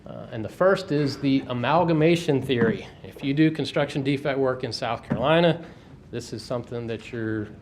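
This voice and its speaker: Man moderating a seminar, mid-pitched, relaxed conversational handoff to the next speaker. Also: normal speed, a little hiss or hum. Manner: casual, conversational. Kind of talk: seminar introduction. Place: seminar room.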